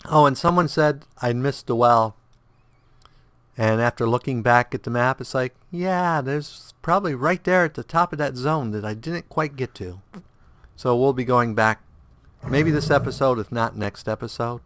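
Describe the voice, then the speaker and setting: A man's voice: mid-range voice, normal speed, no room sound. A man with a stuffed-up nose and slightly sore throat, casually commenting on his game as he plays and humming idly through menus. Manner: hums idly while navigating menus; thinking aloud, relaxed, rambling. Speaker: let's play host.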